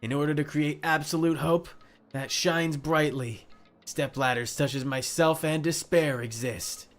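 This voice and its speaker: A streamer voicing a self-deprecating, unsettlingly devoted young believer in hope, reading visual novel dialogue in a theatrical, measured, menacingly cheerful manner. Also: medium pitch, fairly even intonation, soft background music, close to an everyday voice. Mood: calm, sinister.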